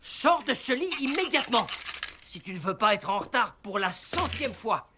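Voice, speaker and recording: An actor playing a middle-aged, exasperated father in a French animated film dub, flustered and prone to scolding. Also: high voice, moderate pace, background noise. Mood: scolding, weary. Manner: scolding, flustered, hesitant when searching for words. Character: harried, exasperated father of a young boy. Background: music.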